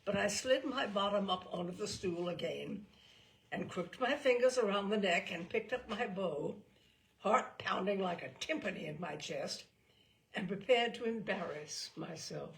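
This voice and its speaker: A woman reading a literary story aloud as an expressive storyteller. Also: medium pitch, measured pace, creaky, low-quality recording.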